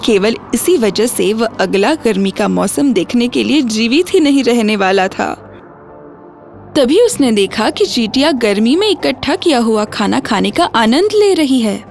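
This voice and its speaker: High-voiced woman narrating a children's story. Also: animated, a little slow, creaky, darkish tone. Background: music.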